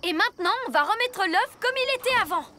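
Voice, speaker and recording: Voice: very high voice, animated, fast talker, over loud music. A performer voicing a bold, determined young boy superhero in a cartoon, with eager, emphatic delivery. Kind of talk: French cartoon dub acting.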